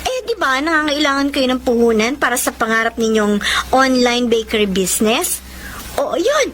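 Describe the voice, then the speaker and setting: A woman's voice: high voice, lively intonation, moderate pace, a bit bright, a little hiss or hum. A Filipino actress playing a devoted, forgiving and earnest young mother in a radio drama. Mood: happy, excited. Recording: music bed behind the voice.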